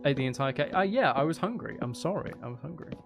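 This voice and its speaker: A man's voice: medium pitch, expressive, fast, vocal fry, over music. A man hosting a casual gaming livestream, rambling and thinking aloud with playful, mock-dramatic asides. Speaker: livestream host.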